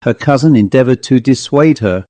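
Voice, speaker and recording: A man reading a classic ghost story as an audiobook narrator, in a measured, literary manner. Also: medium pitch, lively intonation, dark tone, dry recording.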